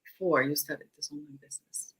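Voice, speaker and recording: A woman hosts a relaxed online interview with a bright, clear tone. She speaks upbeat, asking questions with easy fillers. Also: low-pitched, a little fast, poor audio. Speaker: host. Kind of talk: casual interview hosting.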